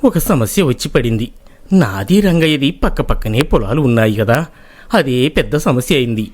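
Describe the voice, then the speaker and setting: A man's voice: medium pitch, expressive, normal speed, a little mellow, lightly acted. A man voicing an honest, troubled village farmer in a moral story, humble and plaintive. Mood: aggrieved, pleading. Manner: plaintive, respectful. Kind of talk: moral-story character acting.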